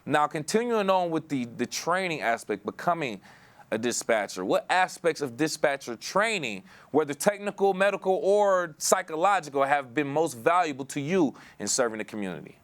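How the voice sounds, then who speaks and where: A man's voice: on the high side, expressive, normal speed. An American man hosting an interview, conversational and wordy, often repeating words as he works toward a question. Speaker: interview host.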